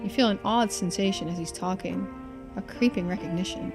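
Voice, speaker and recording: A woman's voice: mid-range voice, brisk. A woman streamer reading a game's narration aloud and adding casual commentary. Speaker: streamer narrating a game playthrough. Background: game music.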